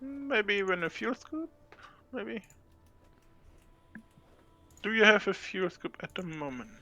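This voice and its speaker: A man's voice: high-pitched, big pitch swings, takes it slowly, sharp tone, highs cut off. A man playing alongside the host, casually giving advice about ships and gear. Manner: helpful, gives game advice. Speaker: co-player. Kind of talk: casual game chat.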